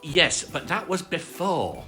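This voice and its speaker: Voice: medium pitch, huge pitch swings, slow, bright, forceful tone, faint music behind, voice pushed up, heavily acted. A storyteller voicing a cheerful, muddled toy bear, earnest and singsong, from a children's storybook.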